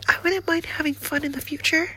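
A woman voicing a doting, flirtatious nurse in a whispered roleplay, with a soft, breathy, slow and coaxing delivery. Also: high voice, steady tone, poor audio. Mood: whispery, doting. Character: doting, flirtatious female nurse, motherly and teasing.

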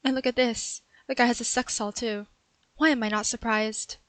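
A young woman voicing a cheeky catgirl cat burglar, sassy and teasing, with breathy meows, in an audio roleplay. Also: fairly high pitch, fairly even intonation, normal speed, dry recording, close to an everyday voice. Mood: smug.

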